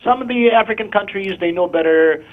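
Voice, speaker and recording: A man's voice: high voice, a little fast. A man in his fifties from Morocco, calling in to a talk show and explaining his view in earnest, insistent short phrases. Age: 50s. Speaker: caller. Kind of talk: call-in discussion. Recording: tinny phone line.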